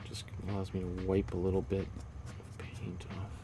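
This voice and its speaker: A male painting instructor leading a live-streamed lesson, thinking aloud as he works and chatting with viewers in a relaxed, friendly way, sometimes dropping to soft murmured asides. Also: sits low, normal speed, background noise.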